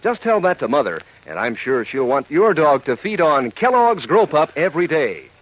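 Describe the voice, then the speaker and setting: A man's voice: fairly high pitch, big pitch swings, normal speed. A man reading scripted 1940s radio advertising as an announcer, folksy and upbeat, on a dull old recording.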